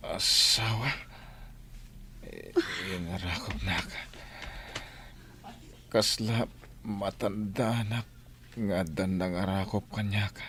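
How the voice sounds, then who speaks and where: An actor playing a confused husband with no memory in an audio drama, with a Filipino accent. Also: male voice, on the low side, slow, pauses often, sharp tone, a little hiss or hum, heavily acted. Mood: bewildered. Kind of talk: Ilocano audio drama acting.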